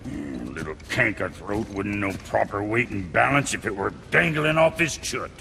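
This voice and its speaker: An actor playing a crude, irascible, foul-mouthed blacksmith in a video game, with a colourful, grumbling delivery. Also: male voice, fairly low pitch, expressive, moderate pace, creaky, in a large hall, background noise. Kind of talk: video game cutscene acting.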